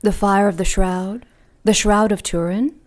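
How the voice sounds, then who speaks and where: A woman playing a vengeful supernatural villain in an audio drama, poised and probing, with quiet menace under her politeness. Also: medium pitch, fairly even intonation, unhurried, lightly acted. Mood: curious, sardonic. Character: Lilith, menacing vengeful female supernatural villain posing as friendly.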